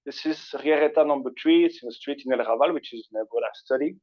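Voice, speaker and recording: Man, Belgian French accent, French native, mid-pitched, casual hesitant lecturer, dull lo-fi mic. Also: fast talker. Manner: relaxed conversational lecturing, frequent hesitations. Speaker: guest speaker. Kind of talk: academic talk. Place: university seminar room. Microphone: passed microphone.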